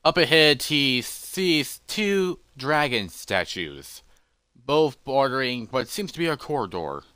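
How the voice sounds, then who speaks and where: A man telling a fantasy story as its narrator, in halting, deliberate phrases with dramatic pauses. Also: mid-range voice, very animated, pushed and bright, clear, resonant tone, dry recording.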